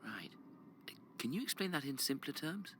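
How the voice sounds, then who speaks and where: An admiring junior male demon in a radio drama. The voice is bright and animated, with an eager, deferential way of speaking to a superior. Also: mid-range voice, moderate pace, a little hiss or hum.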